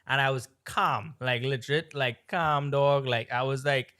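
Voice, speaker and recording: A man from Trinidad with a Trinidadian-tinged American accent, co-hosting a podcast and telling a long story in a rambling, animated way with lots of fillers. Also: medium pitch, measured pace. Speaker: podcast co-host. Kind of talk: casual storytelling chat.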